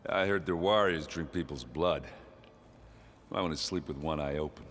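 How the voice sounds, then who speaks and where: A man's voice: extremely low pitch, moderate pace, a bit bright, big-room sound. An actor playing a big, cheerful and clumsy male warrior in a video game, hearty and joking.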